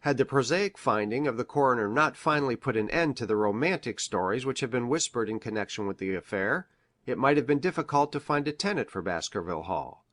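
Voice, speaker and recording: A man playing an earnest country doctor in an audiobook, reading aloud in a formal, deliberate way. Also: mid-range voice, expressive, fairly bright tone, close to an everyday voice. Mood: formal, measured. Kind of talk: measured dramatic audiobook reading of formal prose.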